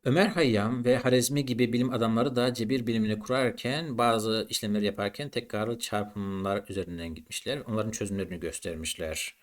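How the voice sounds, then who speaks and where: A man's voice: fairly low pitch, fairly even intonation, a little fast, few pauses. A man teaching a maths lesson in an explanatory, didactic way, posing questions and then answering them.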